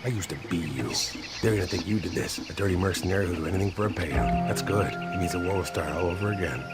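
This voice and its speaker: Loud music plays behind a deep-voiced man. An actor plays a ruthless, world-weary mercenary zealot from a video game, menacing and fatalistic. He speaks in a grim, deliberate way. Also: natural voice. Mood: vengeful, menacing. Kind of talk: video game cutscene acting.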